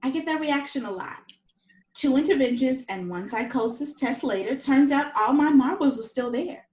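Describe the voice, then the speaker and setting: Upbeat thirties woman, played by an actress, higher voice, echoey room, TV comedy table read. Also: moderate pace, darkish tone, lightly acted. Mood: upbeat, persuasive. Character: Heather, upbeat thirty-six-year-old ex-lawyer turned wellness owner.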